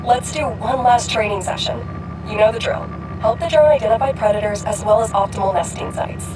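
A woman playing a calm doctor in a video game, giving friendly training instructions. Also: a little high, a little fast, natural voice. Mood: friendly, instructive. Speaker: scientist mentor. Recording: distant voice with music behind.

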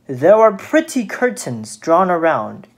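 A woman voicing a sly, flattering male spider for a children's storybook, with slow, honeyed, drawn-out coaxing. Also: on the low side, heavily acted. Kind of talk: children's storybook read aloud.

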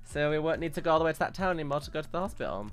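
A woman's voice: low voice, lively intonation, very fast. Mum in a game roleplay woman acting. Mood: brisk, house-proud. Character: house-proud, caring young mum settling her family in. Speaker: player voicing the mother in a family roleplay. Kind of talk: improvised family roleplay in a video game. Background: music.

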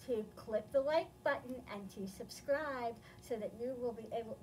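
A woman's voice: sits high, a little hiss or hum, poor audio. A middle-aged woman with an American accent, presenting a children's storytime and explaining things simply to young listeners. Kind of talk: children's read-aloud and simple instruction. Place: indoor library, seated among bookshelves. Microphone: camera several feet away.

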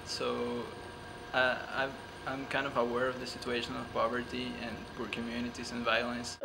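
A young man from Brazil speaking quickly and haltingly in an interview. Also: medium pitch, monotone, creaky, noisy background. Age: young adult.

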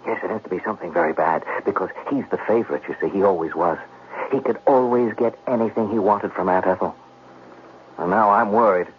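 A man acting a scheming gambler in a 1970s radio drama, with a smooth, sly, theatrical delivery. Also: lowish voice, a little fast, natural voice. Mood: feigned worry. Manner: theatrical, sly, feigning innocence. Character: scheming, smooth gambler brother narrating his own plot. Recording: muffled, noisy 1970s radio broadcast.

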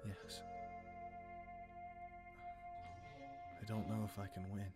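A man playing a hesitant, earnest young hero in an amateur anime game roleplay, speaking in a halting, unsure way. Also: fairly low pitch, flat delivery, over loud music, lightly acted.

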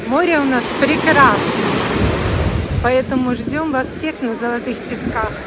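A woman with a high voice speaks in an upbeat, inviting way, repeating words for emphasis. She films her beach vlog outdoors on a handheld phone. Loud surf and wind roar behind her. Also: measured pace. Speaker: beach vlogger. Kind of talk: casual vlog commentary.

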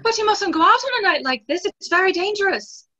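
A woman plays an anxious young lady in a stage play reading. Her voice is high and bright. The call audio is somewhat dull. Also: sing-song, a little fast, exaggerated voice. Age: young adult. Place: plain room at home, video call.

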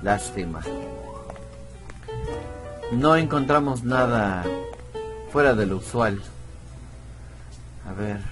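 A man's voice: mid-range voice, expressive, takes it slowly, long pauses, over music, rough recording. A man giving casual let's play commentary, musing aloud in a chatty, self-deprecating way. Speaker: gameplay streamer.

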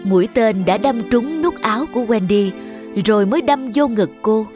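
A woman's voice: on the high side, animated, normal speed, darkish tone, faint music behind. A woman storyteller reading scripted fairy tale narration for children.

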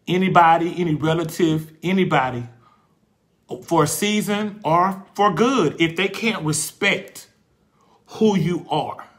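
A fervent man in his forties, his voice pitched a little high and ringing bright. He speaks like a preacher, hammering his points home through repetition. When he turns reflective, he falters and restarts. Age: 40s. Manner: passionate, preacherly, repeats phrases for emphasis. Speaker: host. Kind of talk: faith talk.

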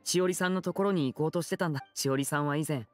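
An actor playing a polite young male stage magician in a Japanese video game, speaking softly and courteously. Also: medium pitch, racing, lightly acted. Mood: polite, helpful. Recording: game audio with music behind.

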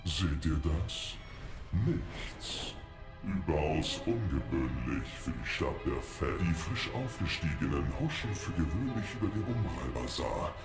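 A man playing a grave, suspicious spider-folk official in a video game, speaking in a measured, ominous manner. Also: extremely low pitch, sing-song, exaggerated voice. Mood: uneasy. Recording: echoing, music behind.

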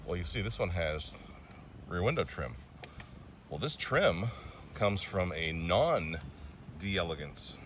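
A man's voice: sits low, a bit bright, slight background noise. A man casually narrating a walkaround of an old car outdoors, rambling with fillers and restarts. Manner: rambling, chatty, pauses with fillers. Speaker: classic car vlogger.

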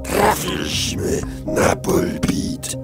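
A man voicing a tyrannical, scheming villain boss in a children's radio play, ranting imperiously and shouting. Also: drawn-out words. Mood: triumphant, scolding. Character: tyrannical male villain boss of online evil, furious, scheming. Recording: loud music under the voice.

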